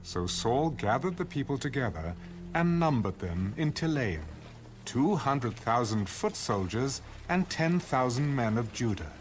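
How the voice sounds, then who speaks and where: A man narrating a dramatised audio Bible in a measured, expressive storytelling manner. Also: medium pitch. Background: music bed.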